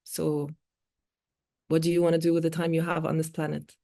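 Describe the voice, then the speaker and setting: A woman in her forties, a native Arabic speaker, talking earnestly in an interview with measured pauses and fillers. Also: deep voice, flat delivery, vocal fry, dark tone. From born in Oman, Palestinian. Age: 40s. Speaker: guest speaker, multimedia artist. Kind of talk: reflective interview talk.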